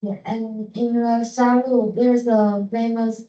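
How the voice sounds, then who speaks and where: A woman student from Taiwan giving a halting class presentation, searching for words. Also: on the high side, flat delivery, warm tone. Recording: echoey classroom, dull.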